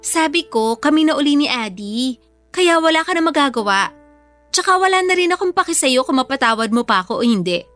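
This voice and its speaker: High-voiced, stubborn returning girlfriend. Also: expressive, a little fast, fairly bright tone, faint music behind. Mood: defiant, dismissive. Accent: Filipino. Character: brother's returning girlfriend, a young mother, placating yet stubborn. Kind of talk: Filipino radio drama acting.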